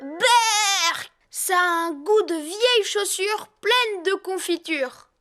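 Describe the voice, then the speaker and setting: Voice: very high voice, lively intonation, slow, full character voice. A performer voicing a young animal child in a children's cartoon dub, with a childlike, plaintive delivery. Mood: worried, disgusted. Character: squeaky young animal child, complaining and polite. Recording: loud music behind.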